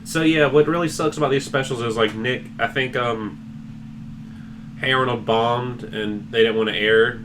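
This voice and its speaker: A man's voice: medium pitch, fairly even intonation, measured pace, background noise. A man hosting a casual podcast, rambling and joking among friends with plenty of swearing. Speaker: podcast host.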